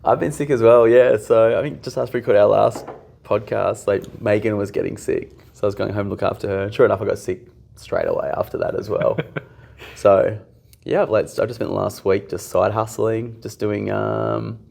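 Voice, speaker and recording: Croaky, gravelly-voiced man on a podcast. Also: fairly low pitch, steady tone, moderate pace, darkish tone. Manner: dry teasing humor, laid-back. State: croaky from illness. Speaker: podcast co-host. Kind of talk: casual chat.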